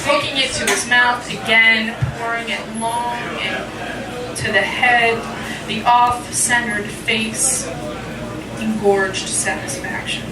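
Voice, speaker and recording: A woman's voice: on the high side, steady tone, takes it slowly. Echoey, distant woman poet reading live. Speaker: poet, featured reader. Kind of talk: live poetry reading with informal asides. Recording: echoey, distant mic, loud crowd noise.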